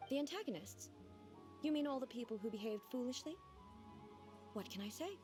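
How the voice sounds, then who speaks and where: A woman voicing a composed, formal female ruler who speaks in measured sentences. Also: on the high side, lively intonation, natural voice. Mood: stately. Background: game music.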